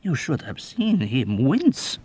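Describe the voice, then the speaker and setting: A man's voice: medium pitch, wildly swooping pitch, a little mellow, breathy edge, pitched above the performer's own voice, full character voice. A man voicing a suave, mocking ancient rogue. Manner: suave, teasing, drawn-out delivery. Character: sly villainous 107-year-old man, mocking.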